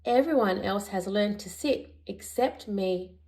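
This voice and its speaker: A woman's voice: medium pitch, a little slow, a little mellow, natural voice, low-quality recording. Woman as a moaning little sausage dog pup. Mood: glum.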